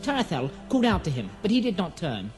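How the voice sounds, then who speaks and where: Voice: medium pitch, huge pitch swings, fast, music in the background. A narrator reading a scripted fantasy audio drama in a poetic, dramatic storybook manner.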